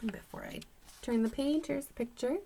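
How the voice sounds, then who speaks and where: A woman teacher reading a children's story aloud to young pupils in an animated, expressive way. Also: sits high. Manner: expressive, acts out dialogue, pauses to comment to pupils.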